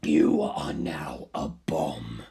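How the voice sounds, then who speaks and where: A narrator voicing an arrogant, ancient superhuman male villain in a story, with a slow, gravelly, scornful delivery. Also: dark tone, heavily acted. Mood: cold commanding. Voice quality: very creaky, gravelly low rasp, breathy. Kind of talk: dramatic audiobook character acting.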